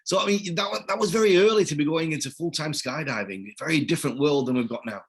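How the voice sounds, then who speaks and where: A man's voice: a little high, quick pace, a bit bright, husky. A man hosting an interview, asking friendly, casual questions. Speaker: interview host.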